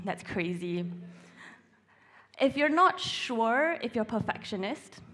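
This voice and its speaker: A woman in her twenties, originally from Singapore, speaks to a church congregation. She tells her stories with teasing, self-mocking humor, pitched in a middle range for a woman. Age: 20s. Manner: playful, self-mocking storytelling. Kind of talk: humorous sermon talk.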